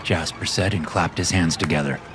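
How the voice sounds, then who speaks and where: A man narrating a scripted horror story in a measured, even way. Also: low voice. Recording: music bed behind voice.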